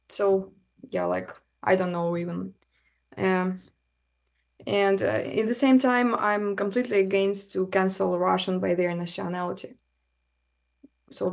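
A woman's voice: medium pitch, gravelly. A young adult woman, giving casual, hesitant answers as an interview guest, searching for words.

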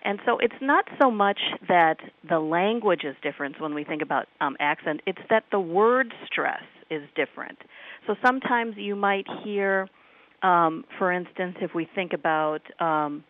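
A woman's voice: lowish voice, expressive, moderate pace, no room sound. A woman professor with a Midwestern accent, answering radio interview questions in a thoughtful academic way, with many ums. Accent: Midwestern American. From United States. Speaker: professor guest on call-in radio. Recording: tinny phone line.

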